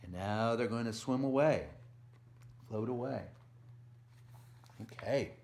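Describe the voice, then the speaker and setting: A man's voice: mid-range voice, slow pace, a little mellow, a little hiss or hum. A man reading a picture book aloud to preschoolers as a volunteer storyteller, stressing the rhymes and adding simple asides and questions for the young listeners. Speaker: volunteer children's story reader.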